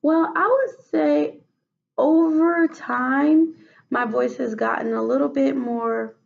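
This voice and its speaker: A woman with a raspy voice answering questions as a patient in a role-played clinical interview, hesitant with many fillers and restarts. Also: high voice, slow pace, dull audio. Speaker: mock patient in a role-play case study.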